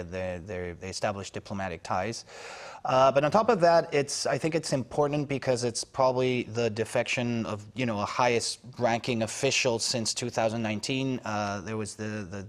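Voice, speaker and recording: A man speaking as a foreign news correspondent on a news panel, analytical and halting, with many fillers and restarts. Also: mid-range voice. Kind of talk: unscripted news panel discussion.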